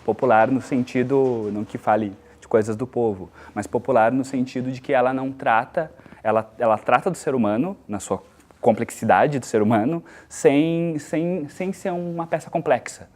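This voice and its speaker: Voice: medium pitch, moderate pace, darkish tone. A speaker with a Brazilian accent explaining in an interview, repeating words while formulating thoughts.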